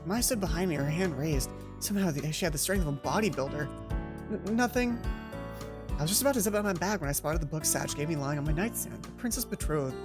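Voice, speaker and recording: A woman's voice: deep voice, quick pace, hardly any pauses. A woman hosting a let's play, reading a visual novel aloud with casual asides, often correcting herself and reworking lines. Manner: animated, self-correcting, repeats lines to rehearse them. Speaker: let's play host and narrator. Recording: music playing behind the voice.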